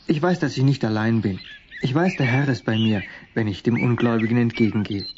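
An actor playing a devout, determined young shepherd with an earnest manner in a radio play. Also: male voice, medium pitch, fairly quick, dull audio, noisy background, natural voice. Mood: devout, resolute. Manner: earnest, explanatory, resolute.

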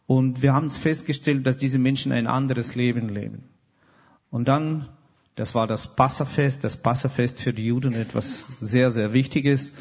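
A man's voice: mid-range voice, a little mellow, breathy edge, lo-fi sound. Earnest man in a reverberant hall. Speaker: guest. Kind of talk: personal testimony.

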